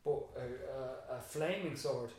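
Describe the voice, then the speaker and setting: A man's voice: medium pitch, measured pace, a little mellow. Rambling Irish male teacher, echoey room. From Ireland. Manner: thinks aloud, rambling with frequent restarts. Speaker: secondary school teacher, podcast guest. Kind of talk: reflective conversational interview.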